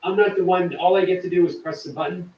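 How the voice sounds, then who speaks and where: A man's voice: sits high, steady tone, quick pace, roomy sound, recorded from a distance, muffled recording, a little hiss or hum. A man hosting a live stream, chatting casually and reading viewer comments aloud. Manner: chatty, warm to viewers, reads chat aloud. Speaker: livestream host.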